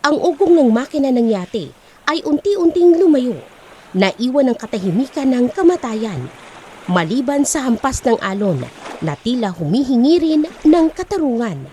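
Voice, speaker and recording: A woman's voice: high voice, big pitch swings, moderate pace, dark tone, slightly rough. A woman narrating an audiobook with a dramatic, scripted storytelling delivery.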